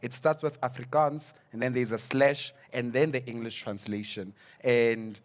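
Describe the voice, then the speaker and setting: A man's voice: medium pitch, steady tone, moderate pace. A young South African man, a psychology student, speaking earnestly on a panel, repeating words as he searches for them. English is not his first language. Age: young adult. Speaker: student representative. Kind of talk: panel discussion.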